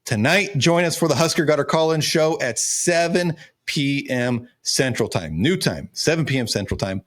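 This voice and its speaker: A man's voice: mid-range voice, expressive, moderate pace, a bit bright. A man in his thirties hosting a sports commentary show, speaking emphatically while his voice cracks as if he is losing it. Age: 30s. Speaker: sports show host and recruiting analyst. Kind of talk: college football recruiting commentary.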